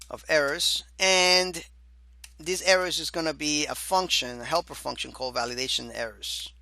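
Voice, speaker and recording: Man, coding instructor, bright forceful tone, fairly high voice, hoarse, casual lecture. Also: no room sound. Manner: casual, repeats "all right" and "my dear student". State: hoarse, losing his voice. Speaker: programming course instructor. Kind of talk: screen-recorded coding lecture.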